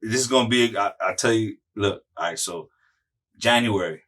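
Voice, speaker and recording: A man's voice: on the low side, moderate pace, fairly bright tone, distant mic. Rambling slangy man telling a story. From Miami, Florida. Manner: rambling, many fillers and restarts, profane slang. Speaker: interview guest, musical artist. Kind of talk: casual chat, storytelling.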